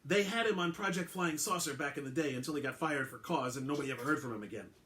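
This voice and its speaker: A man's voice: a little high, fast talker, fairly bright tone, slight background noise, close to an everyday voice. A man voicing an incredulous, cynical and foul-mouthed male police detective in a story reading. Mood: informative.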